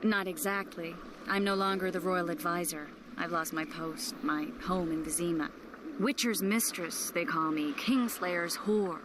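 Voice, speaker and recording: A woman performs a proud, wounded sorceress from a fantasy video game. She speaks in a slightly low voice with measured, articulate phrasing. Noise is clearly heard behind her. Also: close to an everyday voice. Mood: bitter. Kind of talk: dramatic game dialogue.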